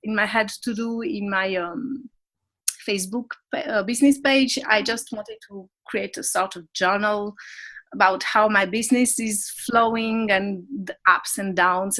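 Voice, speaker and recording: A woman with a non-native English accent, a podcast guest in casual conversation, animated and often laughing at herself while searching for words. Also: medium pitch, measured pace, poor audio. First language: not English.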